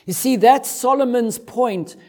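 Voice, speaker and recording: A man's voice: very high voice, a little slow, a little mellow. A man with a Zimbabwean accent preaching an expository sermon in a didactic way, driving points home with emphatic repetition.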